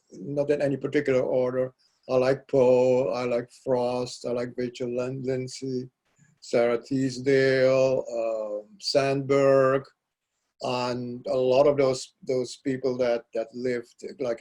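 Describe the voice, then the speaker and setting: An older man whose first language is Dutch, a poet being interviewed, speaking reflectively and pausing often to search for words. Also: mid-range voice, level tone, a little mellow. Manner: halting, searching for words, frequent restarts. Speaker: poet guest.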